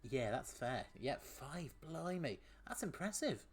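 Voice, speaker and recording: A man's voice: medium pitch, very animated, unhurried, breathy edge. A man streaming a game, chatting with his viewers, often laughing and sometimes tripping over words. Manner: chatty, laughs often, reads chat aloud; trips over words at times. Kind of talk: casual gaming stream commentary.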